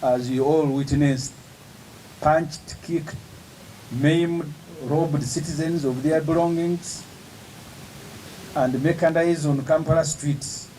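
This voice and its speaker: A man, an opposition politician giving an emphatic, measured press statement with pauses between phrases. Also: a little high, fairly even intonation, warm tone, slight background noise, low-quality recording.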